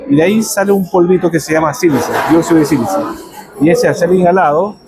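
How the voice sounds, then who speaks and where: A man from Ecuador, a researcher who explains his work in a measured way, with some fillers. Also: a little high, vocal fry, loud music behind the voice. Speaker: researcher, guest. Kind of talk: explanatory interview answers. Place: café during a power cut. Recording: crowd chatter behind.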